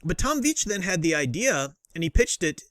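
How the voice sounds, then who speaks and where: A man's voice: sits high, big pitch swings, moderate pace, a bit bright, no echo. A man hosting a casual review, explaining things in an enthusiastic, emphatic, teacherly way. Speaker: host and book reviewer. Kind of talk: casual comic review commentary.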